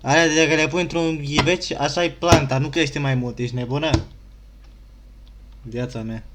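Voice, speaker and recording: A man's voice: on the high side, steady tone, brisk, heavy background noise. A man with a Romanian accent giving casual, blunt gameplay commentary as a streamer. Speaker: game streamer.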